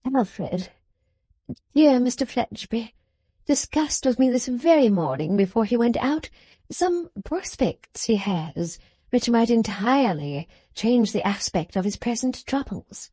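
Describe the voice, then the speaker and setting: A woman reading aloud and voicing a gushing, scheming society wife who pleads slowly and sweetly. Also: on the high side, sing-song, dark tone, husky, no echo, pitched above the performer's own voice, full character voice. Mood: confiding. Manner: slow, pleading, sugary. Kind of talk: audiobook character voice.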